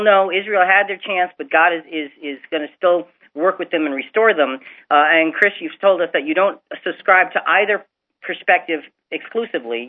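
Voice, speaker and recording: High-voiced, lively male radio host. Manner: animated, repeats words when starting. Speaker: radio talk show co-host. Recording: dull lo-fi radio broadcast with faint music.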